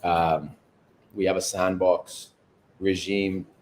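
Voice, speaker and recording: A man from Barbados, a guest co-founder, giving measured, articulate interview answers from home. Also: deep voice, little pitch movement, darkish tone, a little hiss or hum. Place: home overlooking the ocean.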